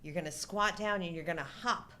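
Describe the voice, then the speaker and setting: A middle-aged woman co-hosting a live show, chatting casually about movies and joking in a deadpan way. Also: fairly low pitch, a little fast. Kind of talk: casual humorous movie-discussion chat.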